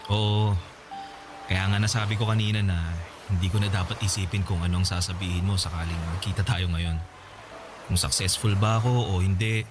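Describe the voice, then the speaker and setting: A calm family man played by an actor in a radio drama, facing an old love with mild hurt and forgiveness. His voice is deep and reflective, with music clearly playing underneath. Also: normal speed, close to an everyday voice. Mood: reflective, earnest. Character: forgiving, mildly hurt former boyfriend, now family man. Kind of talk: Tagalog radio drama dialogue. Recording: music bed behind the voice.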